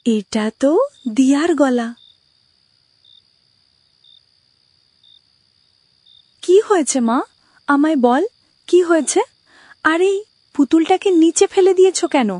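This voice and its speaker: A woman's voice: high-pitched, expressive, normal speed, long pauses, a little mellow, no room sound. A woman voicing a caring, reassuring mother in a cartoon story, soothing and affectionate. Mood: worried. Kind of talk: Bengali cartoon story acting.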